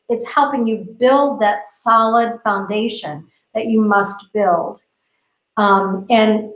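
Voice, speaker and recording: A woman from the United States, co-hosting a casual discussion, talking earnestly and repeating phrases as she builds her point. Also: mid-range voice. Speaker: co-host. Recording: roomy, dull lo-fi video call audio.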